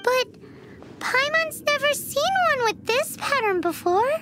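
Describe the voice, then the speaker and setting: A woman voicing a perky, excitable young girl in a video game, with bubbly, theatrical delivery. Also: very high voice, a little slow, very noisy. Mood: cheerful. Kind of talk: animated video game voice acting. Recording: loud game music under the voice. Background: loud game music.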